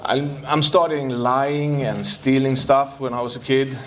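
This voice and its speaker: A man from Sweden with a Swedish accent, Swedish his first language, sharing his recovery story at a meeting, nervous and halting as he searches for English words. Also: mid-range voice, steady tone, slight background noise.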